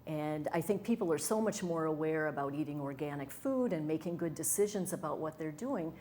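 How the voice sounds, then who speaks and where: Brief: middle-aged woman, low voice, eager and sincere, faint background hiss. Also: animated, brisk, dark tone. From Wisconsin, USA. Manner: earnest, enthusiastic, with fillers and restarts. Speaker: interview guest, hypnotherapist and wellness coach. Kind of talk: conversational interview.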